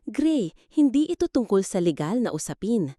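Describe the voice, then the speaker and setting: A woman with a Filipino accent playing an anxious but determined young single mother in an audio drama, earnest, halting and insistent. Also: medium pitch, very animated, warm tone, no room sound, clean studio sound, voice pushed up. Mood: halting, grave.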